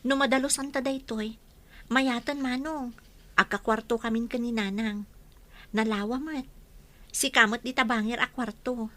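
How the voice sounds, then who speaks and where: A woman's voice: a little high, steady tone, normal speed, long pauses, fairly bright tone, close to an everyday voice. A woman acting a young, eager, caring household helper in radio drama, with an Ilocano accent. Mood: chatty.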